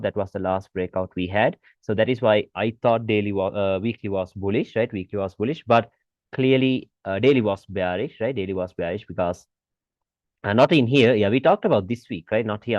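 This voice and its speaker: A man's voice: fairly low pitch, no room sound, dull audio. A man giving an informal screen-share tutorial as an instructor, repeating his points and often checking understanding with rhetorical questions. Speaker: forex trading instructor.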